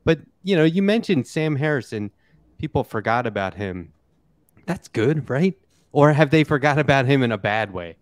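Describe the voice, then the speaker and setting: A man with a middle-pitched voice hosts a podcast conversation in a dry, close recording. He talks casually and haltingly, feeling his way through questions and comments. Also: lively intonation, dry recording. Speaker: podcast host interviewing guests. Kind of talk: casual political podcast chat.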